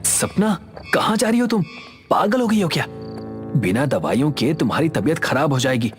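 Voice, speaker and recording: A man's voice: a little high, big pitch swings, moderate pace, faint music behind, voice pushed up. A man voicing an alarmed, accusing young man in a dramatized horror story, urgent and exclaiming. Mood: alarmed scolding.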